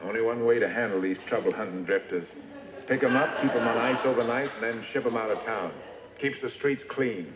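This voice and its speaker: An actor playing a firm, gruff frontier sheriff with blunt, folksy Western talk in a radio western drama. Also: male voice, mid-range voice, a little fast, close to an everyday voice. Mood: firm, matter-of-fact. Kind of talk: old-time radio western acting. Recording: muffled, noisy, echoey old radio recording.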